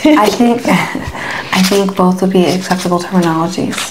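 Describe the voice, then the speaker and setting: A woman's voice: fairly low pitch, moderate pace, noisy background, natural voice. A woman playing a reluctant, impatient airline traveler in an ASMR role-play, speaking in a dry, curt, sarcastic way. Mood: dry, mildly impatient. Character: impatient, reluctant female traveler at airport security.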